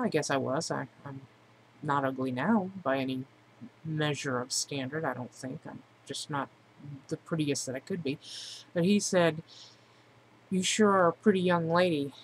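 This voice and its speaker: A woman in her forties talking casually and candidly about herself in a reflective personal monologue, as a vlogger would. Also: low voice. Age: 40s. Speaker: vlogger introducing themself. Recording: clearly noisy amateur recording.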